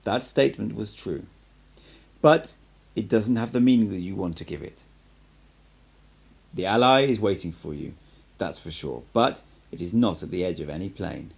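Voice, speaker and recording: Male narrator as patient sorcerer teacher in an audiobook: lowish pitch, animated contours, emphatic, measured, cryptic. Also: gravelly, breathy edge, exaggerated voice. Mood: solemn, emphatic.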